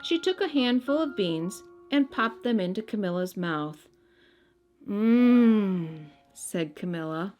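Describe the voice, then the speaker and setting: A woman narrating a children's story as an expressive read-aloud. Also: medium pitch, moderate pace, hardly any pauses. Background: faint music.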